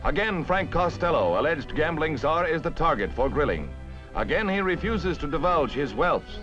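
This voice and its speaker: A male American newsreel narrator from the 1950s with a highish, very animated voice. He delivers the script in punchy, dramatic fashion, on a dull old soundtrack with music behind him. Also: normal speed.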